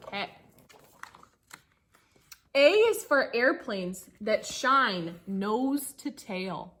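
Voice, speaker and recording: Female teacher for children's picture-book read-aloud. Slightly high voice, lively singsong intonation, engaging asides to young listeners. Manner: singsong storybook phrasing, pausing to engage listeners.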